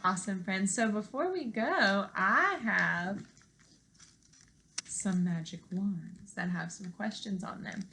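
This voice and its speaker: A woman in her thirties, an elementary teacher, speaking to young children in an animated, encouraging read-aloud style at home. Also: mid-range voice, slow pace, creaky, a little hiss or hum. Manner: animated, encouraging, simple questions addressed to children. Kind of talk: expressive children's read-aloud and lesson talk to young pupils. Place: living room at home.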